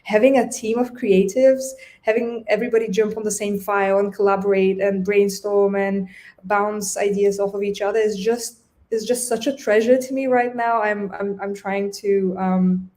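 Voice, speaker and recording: Young woman from Bulgaria, native Bulgarian speaker, casual video-call interview guest, middle pitch. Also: level tone, normal speed, a little mellow. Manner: thoughtful, with frequent restarts. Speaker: guest designer.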